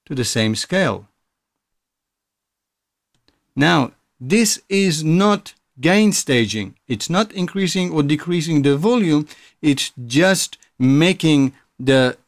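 A man's voice: a little high, no room sound, clean studio sound. A man presenting a music production tutorial in a casual, explanatory, informal way. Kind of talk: casual software tutorial narration.

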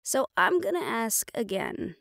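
A woman's voice: on the high side, wildly swooping pitch, unhurried, creaky, a bit bright, dry recording, clean studio sound, heavily acted. A woman playing a tough, threatening young supernatural woman in an audio roleplay, blunt and sardonic. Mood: menacing.

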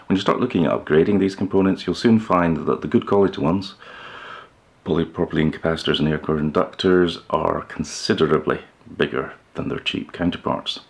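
A man in his fifties giving a measured, instructional talk to camera, explaining things step by step with pauses. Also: a bass voice, fairly even intonation, creaky. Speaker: presenter of a hi-fi DIY video channel. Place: living room with hi-fi speakers, indoors.

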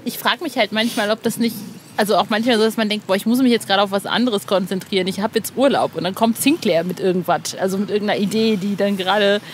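A woman's voice: mid-range voice, steady tone, quick pace, slight background noise. A woman hosting a podcast, asking questions in a casual, conversational interview style. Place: outdoors in a cemetery.

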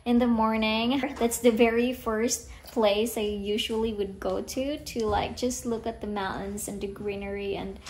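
A woman's voice: fairly high pitch, a little slow, hardly any pauses, a little hiss or hum. A young woman in her twenties from Cebu with a Filipino accent, her first language Bisaya, chatting casually to a handheld camera at home. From Cebu, Philippines. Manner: relaxed, chatty, says "um" and "like" often. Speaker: vlogger. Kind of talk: casual vlog chat. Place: rural house in the Philippines, indoors and outdoors. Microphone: handheld camera held at arm's length.

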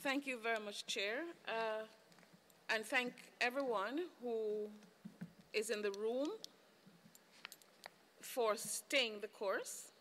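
A middle-aged woman speaks very slowly in a bright, forceful voice. She gives formal panel remarks in deliberate, emphatic phrases, with faint room noise. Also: fairly high pitch. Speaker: panelist, committee member. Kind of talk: formal closing statement. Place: large indoor conference room. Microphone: desk conference microphone.

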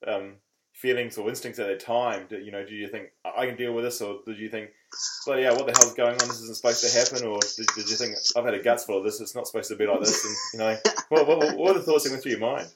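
A man's voice: lowish voice, fairly even intonation, quick pace, very noisy. A man hosting a sailing podcast, asking questions in a chatty, folksy way. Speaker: podcast host. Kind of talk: casual interview.

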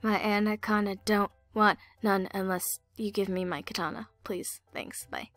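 A woman's voice: medium pitch, steady tone, moderate pace, a bit bright, soft background music. A woman doing amateur drama outtakes, goofy, slipping between line readings and joking asides. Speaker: amateur voice actor in a fan audio drama.

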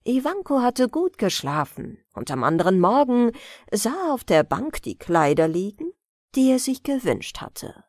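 A woman narrating a bedtime fairy tale as a storyteller, calm, measured and expressive. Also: fairly high pitch, no room sound, clean studio sound.

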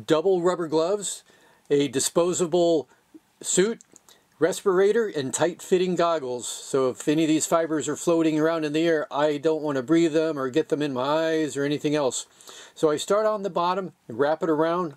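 Older male DIY boat mechanic, slightly higher voice, casual how-to delivery, matter-of-fact and plain-spoken. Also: darkish tone. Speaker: sailor demonstrating boat engine repair.

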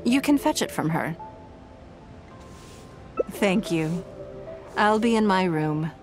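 A woman voicing a poised, elegant noblewoman leader in a video game, composed, gracious and measured. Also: lowish voice, animated, soft background music. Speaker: game character.

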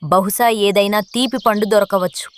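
A woman's voice: medium pitch, fairly even intonation, moderate pace, natural voice. A woman voicing a friendly, persuasive female bird in a children's cartoon. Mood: coaxing.

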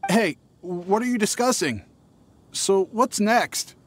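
A man voicing a shifty young traveling merchant in a video game, evasive and hesitant, stammering while trying to sound smooth. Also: sits high, expressive, takes it slowly, heavily acted. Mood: uneasy, evasive. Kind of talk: video game character acting.

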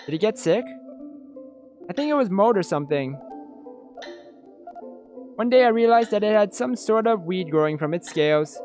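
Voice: medium pitch, lively intonation, moderate pace, long pauses, a little mellow, soft background music, lightly acted. A game host voicing a wistful young woman. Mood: wistful, casual.

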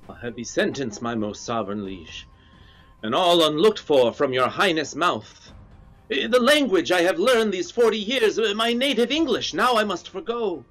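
An aging duke mourning his banishment. The voice sits a bit high for a man, and the verse comes out measured and sorrowful. It is recorded on a plain webcam. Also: very animated, fairly bright tone, soft background music, low-quality recording. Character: aging male duke, grieving in exile. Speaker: actor. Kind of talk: staged Shakespeare reading. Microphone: webcam on a video call.

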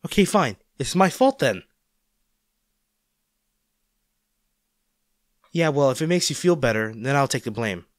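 A man's voice: mid-range voice, expressive, brisk, no room sound, lightly acted. Man acting a caring, worried boyfriend, close and dry. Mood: hurt, frustrated. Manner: tender, coaxing, hesitant, speaking directly to the listener. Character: caring, worried boyfriend nursing an injured partner. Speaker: audio roleplay voice actor. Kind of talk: intimate audio roleplay acting.

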